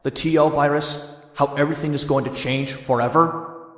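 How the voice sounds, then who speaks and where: An actor playing a cocky, sardonic young vigilante in a motion comic, with wry dialogue and first-person narration. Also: male voice, a little high, steady tone, normal speed, a bit bright, echoey room, long reverb tail, close to an everyday voice. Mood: mocking. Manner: wry, wisecracking, dry asides.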